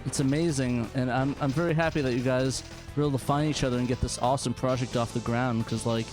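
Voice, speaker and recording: A man's voice: mid-range voice, steady tone, fast. A man hosting a casual chat, enthusiastic and often stumbling over his phrases. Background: game music and sound effects.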